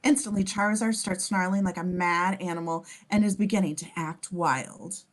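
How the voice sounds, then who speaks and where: A woman's voice: fairly low pitch, fairly even intonation, normal speed. A woman narrating scene descriptions in an amateur script reading, sometimes stumbling and correcting herself. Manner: stumbles over words and self-corrects.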